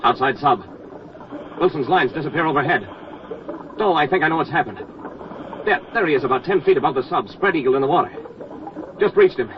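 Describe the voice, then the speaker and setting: An actor playing a brave navy diver giving a terse, urgent running report in a 1940s radio drama. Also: male voice, a little high, lively intonation, a little fast, long pauses, natural voice. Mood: terse, tense. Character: brave male navy diver Moran reporting tense undersea rescue. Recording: noisy, dull vintage 1940s radio recording.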